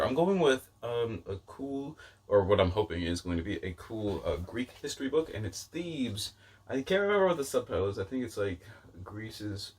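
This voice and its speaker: Low voiced dude just chatting to camera about books, goes off on tangents, lots of filler words. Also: male voice. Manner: rambling, tangent-prone, peppered with "like" and "you know". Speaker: book reviewer vlogger. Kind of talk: casual rambling chat to camera.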